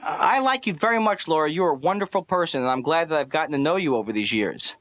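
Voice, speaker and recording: Guy playing an awkward neurotic man, earnest comedy bits, voice a bit high, no room echo, halting. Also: a bit bright, lightly acted. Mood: forced cheerful. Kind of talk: comic monologue and dialogue.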